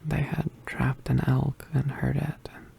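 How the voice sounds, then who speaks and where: A performer voicing an injured, poisoned young male witch in an ASMR roleplay, weak and apologetic, mostly whispering in halting, pained breaths. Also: mid-range voice, level tone, warm tone, full character voice. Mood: pained, weary. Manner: halting, pained, with sighs and throat clearing.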